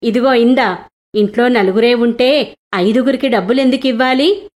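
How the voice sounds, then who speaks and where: A woman's voice: on the high side, normal speed, a little mellow, natural voice. A woman voicing a devout, fatherly older merchant in an earnest way for a moral storybook tale. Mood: suspicious, firm. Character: devout wealthy merchant, fatherly older man with grown daughters-in-law.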